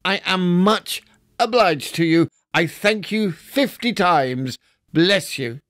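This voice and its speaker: A man's voice: high voice, expressive, takes it slowly, a bit bright, no room sound, studio quality, pitched above the performer's own voice, exaggerated voice. A man reading a storybook and voicing a reformed old miser, eager and effusive. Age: older.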